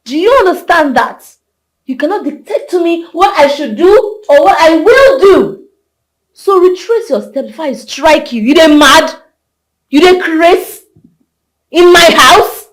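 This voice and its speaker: A woman's voice: fairly high pitch, darkish tone, lightly acted, poor audio. An actress playing a brash, haughty young Nigerian woman in a film drama, confrontational and slipping into Pidgin. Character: brash, gloating young woman pregnant by a king.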